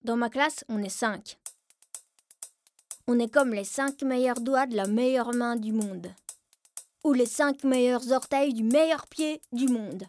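High-voiced cheeky child narrator, music behind. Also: female voice, slow, darkish tone. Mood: proud, playful. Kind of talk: radio drama narration.

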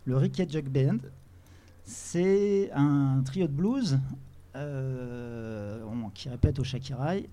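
Mellow-voiced man chatting as guest. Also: mid-range voice, takes it slowly. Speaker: interview guest, musician. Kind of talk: casual live interview chat. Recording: faint live venue background noise.

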